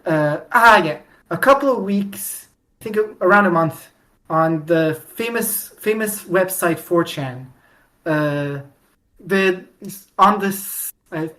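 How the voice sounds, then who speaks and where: A woman hosting a podcast in a casual fan-discussion style, with halting delivery that often repeats and restarts phrases. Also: lowish voice, a bit bright. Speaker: podcast host.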